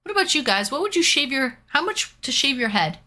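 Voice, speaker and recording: Bright-voiced chatty Canadian woman streamer. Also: high-pitched, sing-song, brisk. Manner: chatty, reads out viewer comments. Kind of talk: casual chat while painting.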